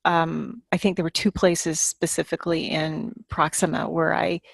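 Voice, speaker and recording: A woman with a lowish voice talks casually about the craft of fiction. She is deliberate, doubling words to stress a point. The recording is dry and free of room sound. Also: little pitch movement, vocal fry, no room sound. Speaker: fiction writer and podcast panelist. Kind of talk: casual podcast chat about writing.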